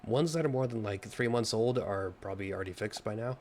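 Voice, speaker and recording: A man's voice: fairly low pitch, fast, background noise. A man who is a game developer, explaining offhandedly and with hesitations in a casual live Q&A.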